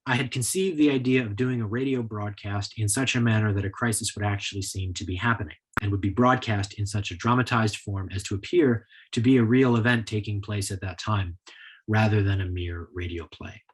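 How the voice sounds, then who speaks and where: A man giving a prepared history lecture in a measured, explanatory style. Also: on the low side, fairly even intonation, slightly rough, low-quality recording. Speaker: lecturer, author.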